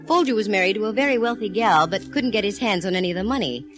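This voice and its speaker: A sassy lady private eye, as an actress plays her, breezy and amused as she wraps up a case. She talks in a mid-range voice with crisp wisecracks, in the style of 1940s radio acting, with a faint organ in the background. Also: medium pitch, animated, fast talker, natural voice. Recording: old radio broadcast with faint organ music.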